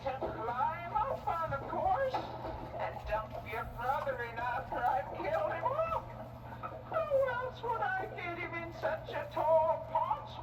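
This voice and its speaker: A shrieking high, wicked old witch. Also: sing-song, full character voice. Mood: scornful. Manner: cackling, gloating, drawn-out delivery. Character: gleefully wicked, hungry old witch who eats children. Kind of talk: theatrical children's storybook acting. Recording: roomy, distant, loud music behind.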